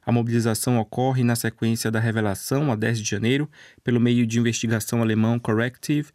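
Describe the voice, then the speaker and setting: A man's voice: medium pitch, steady tone, quick pace, a little mellow, no room sound. Man reading news in a dry studio. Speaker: news reader. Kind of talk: scripted radio news bulletin.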